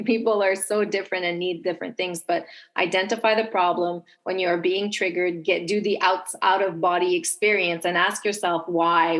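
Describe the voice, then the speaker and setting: A woman's voice: lowish voice, a bit bright. A woman speaking conversationally as a podcast guest, giving advice in an explanatory way and voicing self-talk as she goes. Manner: explanatory, voices her own self-talk.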